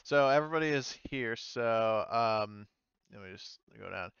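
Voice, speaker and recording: A man as game master, narrating and chatting casually in a halting, loosely profane way. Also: on the low side, creaky, fairly bright tone, smooth, dry recording, lo-fi sound.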